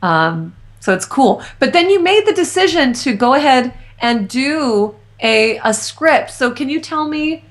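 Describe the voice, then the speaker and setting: A woman's voice: sits high, sing-song, measured pace, hardly any pauses. A woman interviewing a guest, asking questions and responding with enthusiastic affirmations. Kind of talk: interview conversation. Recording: roomy echo.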